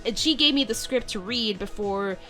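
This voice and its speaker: A woman's voice: medium pitch, moderate pace, pushed and bright, faint music behind. Woman guest, video editor type, casual and rambling, mid-range voice. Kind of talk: casual podcast chat.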